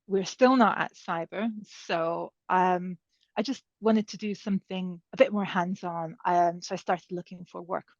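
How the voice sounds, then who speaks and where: A woman's voice: lowish voice, steady tone, unhurried, no room sound. A woman shares her career story at an advice evening, talking loosely with plenty of ums and restarts. The sound is dull and a bit lo-fi, close and dry with no room around her. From Serbia. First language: Hungarian. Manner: conversational, many fillers and restarts. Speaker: panel speaker.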